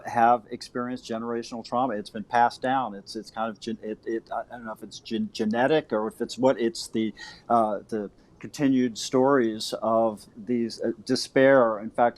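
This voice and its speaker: A man answering questions informally as a playwright, halting and repeating the starts of his words. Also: mid-range voice. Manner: hesitant, halting, repeating word beginnings.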